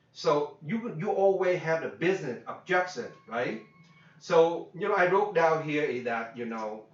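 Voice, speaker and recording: Man coaching in an echoey room. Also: a little high. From Vietnam. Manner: repetitive, many fillers and tag questions, non-native grammar. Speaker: business coach. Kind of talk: workshop talk. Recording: echoey room, distant dull mic.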